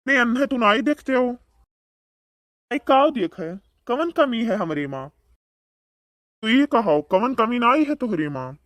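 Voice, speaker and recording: A man's voice: extremely high pitch, animated, a little slow, long pauses, a bit bright, no room sound, exaggerated voice. A man voicing a boastful, bickering village man in a comedy cartoon, speaking with an Awadhi accent and taunting banter. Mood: quarrelsome. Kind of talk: comedic cartoon dialogue in rural dialect.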